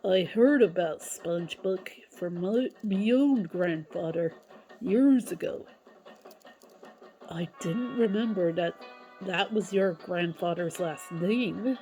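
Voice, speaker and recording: A woman voicing a grumbling male crab boss with a halting, pirate-like way of talking, in a cartoon audio drama. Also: fairly low pitch, warm tone, faint music behind, voice pushed down. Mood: thoughtful. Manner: halting, pirate-like turns of phrase.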